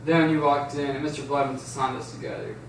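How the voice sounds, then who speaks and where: A man's voice: mid-range voice, flat delivery, fast, lightly acted. A man playing a shy, nervous teenage boy who is eager for friendship, in an amateur script read. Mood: earnest. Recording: echoey room, distant mic, faint noise.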